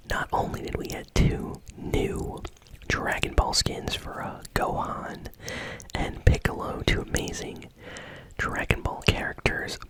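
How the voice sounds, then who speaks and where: Whispering ASMR host needed: breathy, unvoiced, casual and conversational delivery of news commentary. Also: poor audio. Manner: casual, conversational, soft-spoken. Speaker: host of a gaming news show.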